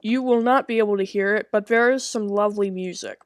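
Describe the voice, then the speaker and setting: A woman's voice: medium pitch, a little fast, no room sound. Casual woman hosting gaming videos, dry sound. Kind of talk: casual chat to viewers.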